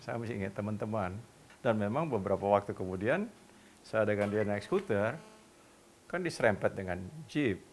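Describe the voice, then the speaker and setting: Older male interviewee, low voice, slow and hesitant, faint room noise. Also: animated. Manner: deliberate, hesitant, acts out quoted dialogue. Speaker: interviewee, former newspaperman. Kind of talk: reminiscing interview.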